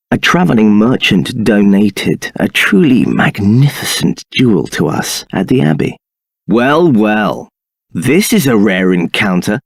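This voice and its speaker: A haughty scheming templar captain. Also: male voice, fairly low pitch, vocal fry, no room sound, studio quality, exaggerated voice. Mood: fawning. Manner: haughty, condescending, silky. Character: scheming arrogant male templar captain, adult. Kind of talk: video game voice acting.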